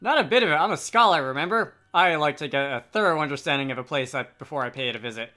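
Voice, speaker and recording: A man's voice: a little high, fast, bright, forceful tone. A man voicing a cheery, food-loving male scholar adventurer from a game, breezy and colloquial. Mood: curious, teasing.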